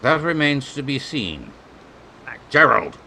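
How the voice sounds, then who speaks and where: A man acting a stern major at the head of a posse in a radio western. His voice is forceful and animated, and he gives orders with military authority. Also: medium pitch, slow, gravelly, slight background noise, heavily acted.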